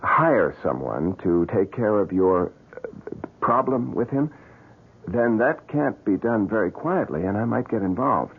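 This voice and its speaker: A muffled old-time radio recording carries a greedy, slick hustler. He has a low voice that swoops widely, selling his scheme glibly between small hesitations. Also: lively intonation, measured pace, breathy edge, exaggerated voice. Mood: careful, probing. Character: glib, greedy, scheming man running a crime-prevention agency.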